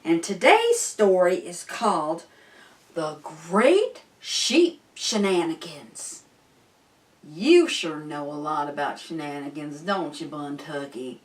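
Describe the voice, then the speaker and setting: An older woman hosting a children's story time, reading aloud in an expressive, playful, folksy way with drawn-out words. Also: low voice, slightly rough. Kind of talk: animated children's storybook narration.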